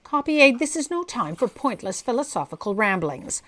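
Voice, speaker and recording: A woman's voice: a little high, sing-song, moderate pace, a bit bright. A woman playing an impatient, imperious boss in a comic audio drama, curt, bossy and exasperated. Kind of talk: audio drama comedy acting.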